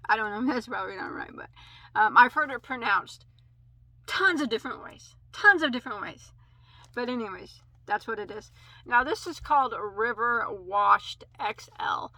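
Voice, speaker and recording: Midwestern woman host, bright, slightly high voice, chatty and rambling, close dry mic. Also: normal speed, no room sound, rough recording. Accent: American Midwest. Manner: chatty, rambling, many fillers and self-corrections. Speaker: crochet channel host. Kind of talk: casual yarn haul review.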